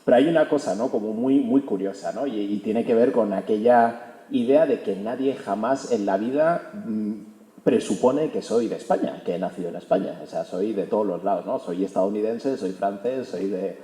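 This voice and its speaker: A man's voice: fairly low pitch, normal speed, warm tone. A young man from Spain giving a fluent public talk about his book, often tagging his sentences with a questioning "no". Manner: fluent, peppers speech with "¿no?". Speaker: author presenting his book. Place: auditorium hall. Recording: echoey hall recording.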